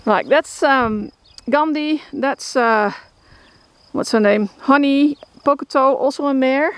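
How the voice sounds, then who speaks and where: A woman's voice: sits high, takes it slowly, no room sound. A woman with a Dutch accent casually talking about her horses outdoors, rambling with many fillers and restarts. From Netherlands. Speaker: horse shelter keeper. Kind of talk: casual vlog walkthrough. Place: outdoors in a rural field. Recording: outdoor noise, crickets behind.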